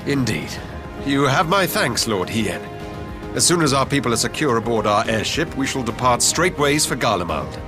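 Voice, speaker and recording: An actor playing a formal, earnest imperial envoy in a video game, speaking in a measured, diplomatic way. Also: male voice, medium pitch, sing-song, lightly acted. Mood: courteous. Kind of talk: video game cutscene acting. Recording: loud game music behind.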